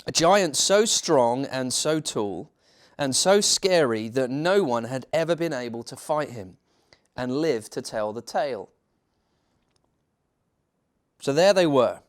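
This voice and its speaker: A man reading a children's bedtime story as a church minister, theatrical, with dramatic pauses and emphasis. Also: medium pitch.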